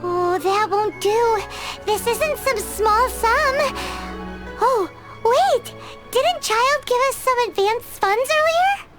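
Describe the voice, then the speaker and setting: A woman voicing a tiny, cheeky, childlike fairy companion in an animated video game style, excitable and quick to scold. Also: extremely high pitch, loud music behind the voice, full character voice. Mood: alarmed. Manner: indignant, exclaiming, speaks of self in third person.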